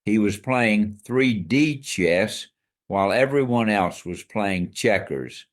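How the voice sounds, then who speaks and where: Low-voiced man explaining history. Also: animated, slow. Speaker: co-host. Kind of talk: scripted history podcast chat.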